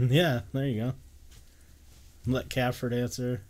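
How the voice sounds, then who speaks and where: A man's voice: mid-range voice, flat delivery, slow, a little mellow. A man chatting rowdily with friends, joking crudely and laughing often. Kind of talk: rowdy casual chat with friends.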